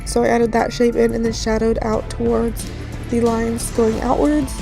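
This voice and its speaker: A woman with a raspy, slightly hoarse just-woken voice, giving a casual, rambling voice-over tutorial as host. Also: fairly high pitch, flat delivery, moderate pace. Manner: rambling, informal. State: just woke up, morning hoarseness. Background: background music.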